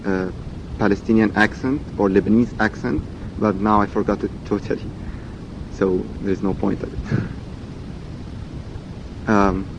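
A man's voice: steady tone, a little slow, pauses often. A man in his twenties from Egypt with an Egyptian accent and Arabic as his first language, reading a set passage and then talking about himself with many fillers and repeated words. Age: 20s. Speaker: dialect archive speaker. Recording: dull recording with loud background rumble.

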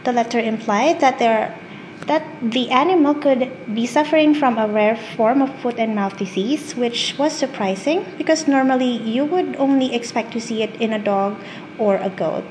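A woman in her twenties with a Filipino accent, whose first language is Tagalog. She reads a story carefully, then talks about herself in measured speech with frequent "um" fillers. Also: fairly high pitch, a little mellow, a little hiss or hum, low-quality recording. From Philippines. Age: 20s. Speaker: dialect archive speaker. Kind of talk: reading a passage, then casual self-description.

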